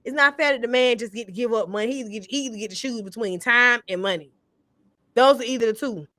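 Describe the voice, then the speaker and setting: A woman's voice: on the high side, fast talker, a bit bright, no echo. A woman in her thirties chatting casually on a panel, blunt and emphatic, with a lot of swearing. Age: 30s. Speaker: podcast panelist. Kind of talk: casual chat.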